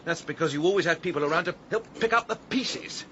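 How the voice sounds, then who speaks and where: An actor playing a pedantic, reluctant gentleman sidekick in a radio drama, with an English accent and a proper, exasperated manner. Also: on the high side, quick pace. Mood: exasperated, flustered. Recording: dull sound, clear background noise.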